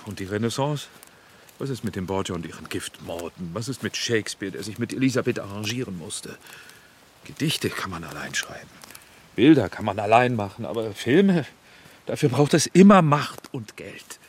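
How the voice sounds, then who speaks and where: Actor as defensive, highly animated film director. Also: male voice, normal speed, slight background noise, lightly acted. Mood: defensive, pleading. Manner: defensive, argumentative. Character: proud, self-justifying middle-aged male film director in wartime. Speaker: actor in a radio play. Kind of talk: German radio drama acting.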